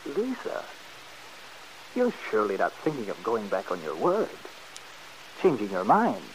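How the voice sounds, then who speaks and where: An actor playing an impatient, cold-blooded schemer in an old-time radio soap, brusque, exasperated and condescending. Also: male voice, on the low side, huge pitch swings, measured pace. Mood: wary, placating. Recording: hissy vintage radio broadcast recording.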